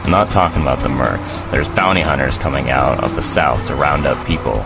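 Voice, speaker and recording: An actor playing a bitter, ornery, world-weary refugee fighter in an audio drama. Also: male voice, rumbling low, brisk, vocal fry. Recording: loud music under the voice.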